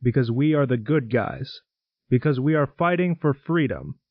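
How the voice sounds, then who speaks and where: A man's voice: mid-range voice, expressive, normal speed, no room sound, close to an everyday voice. Man acting a solemn American president, slightly muffled. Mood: solemn, resolute. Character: solemn, reassuring American president addressing nation at war. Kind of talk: presidential radio address, audio drama.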